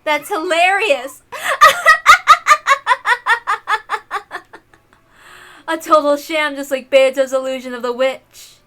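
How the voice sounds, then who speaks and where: A woman's voice: high voice, steady tone, moderate pace, fairly bright tone, voice pushed up. A woman voicing a cruel, mocking aristocratic witch lady with theatrical, taunting delivery in a visual novel read-aloud. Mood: gloating, mocking.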